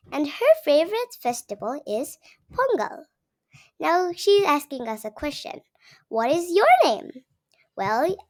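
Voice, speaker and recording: A seven-year-old girl from Gujarat with an Indian accent, reading a story aloud and explaining it simply to viewers like a young teacher. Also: high-pitched. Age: child, seven years old. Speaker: young channel host.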